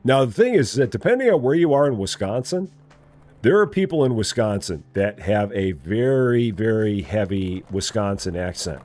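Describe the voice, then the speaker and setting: A man's voice: on the low side, big pitch swings, moderate pace, darkish tone. A man with a Midwest Illinois accent chatting casually during a live game stream, repeating himself and teasing playfully. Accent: General American, Midwest Illinois. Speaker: gaming streamer. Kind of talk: casual live-stream chat while playing.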